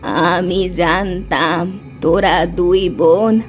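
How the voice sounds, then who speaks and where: A woman voicing a solemn, forgiving old forest granny with magical powers, speaking in a slow, drawn-out way for a cartoon. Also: on the low side, steady tone, faint music behind, pitched below the performer's own voice.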